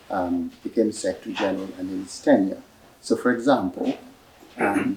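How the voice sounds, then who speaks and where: A man giving measured, explanatory answers at a library book talk, pausing often with fillers. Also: lowish voice, gravelly, a little mellow. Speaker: author and speaker at a book talk. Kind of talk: answering audience questions. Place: library event room. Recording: echoey room, voice from a distance.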